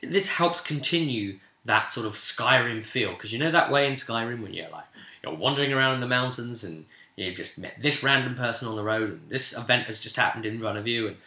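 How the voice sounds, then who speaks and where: A British man casually recounting a tabletop game as its game master, in an animated storytelling way. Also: medium pitch, a little fast. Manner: animated, acts out players' remarks in quotes. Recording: roomy, echoing room sound.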